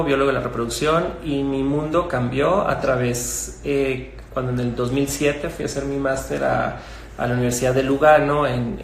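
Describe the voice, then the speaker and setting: A middle-aged man with a Mexican Spanish accent speaks in a measured, explanatory way, pausing on fillers and restarting his phrases. His voice has a gravelly, fried edge. The room is echoey, with a faint hum of ambience behind him. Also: mid-range voice. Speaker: gynecologist guest. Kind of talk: conversational explanation.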